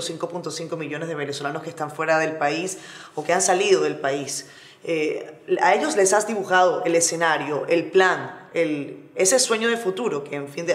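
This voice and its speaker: A woman host interviewing a guest, asking long, measured, probing questions. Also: sits low, echoey room.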